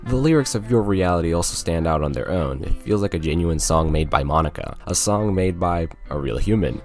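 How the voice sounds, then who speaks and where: Young male video essayist, deep register with expressive swings, informal and chatty scripted narration. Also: brisk. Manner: informal, conversational, slangy. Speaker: video game reviewer. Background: music.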